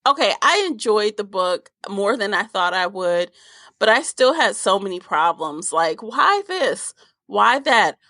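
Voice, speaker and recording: A woman's voice: medium pitch, big pitch swings, unhurried, sharp tone, dry recording, clean studio sound. A woman podcast host chatting casually about a book in an opinionated, informal way. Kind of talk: casual book discussion chat.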